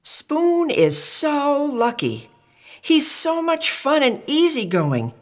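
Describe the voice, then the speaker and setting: A woman voicing a wistful, envious kitchen utensil in a storybook, with a drawn-out, admiring tone. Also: sits high, wildly swooping pitch, faint music behind, pitched above the performer's own voice, full character voice. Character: wistful utensil friends, envying an easygoing pal.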